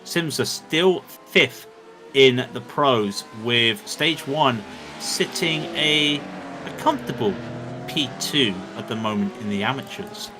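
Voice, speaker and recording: A man's voice: medium pitch, animated, slow, over music. A man doing live commentary on an online endurance race, chatty and joking between updates, his throat dry after hours on air. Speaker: sim racing commentator.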